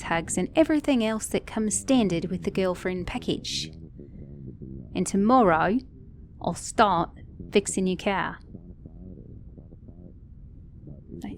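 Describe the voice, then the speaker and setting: A woman's voice: mid-range voice, darkish tone, a little hiss or hum, close to an everyday voice. A woman with an Australian or British accent playing a sassy, caring mechanic girlfriend in an ASMR roleplay, speaking emphatically and affectionately to a partner. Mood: firm, affectionate. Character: sassy, caring female mechanic girlfriend, scolding then tender. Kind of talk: ASMR roleplay audio drama.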